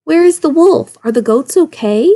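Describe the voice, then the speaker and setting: A woman's voice: high voice, animated, normal speed, dark tone, voice pushed up. A woman plays an agitated villager in a storybook tale. The delivery is urgent and scolding, as from someone winded and fed up. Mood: alarmed. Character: agitated, winded villagers chasing after a wolf. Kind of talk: storybook read-aloud.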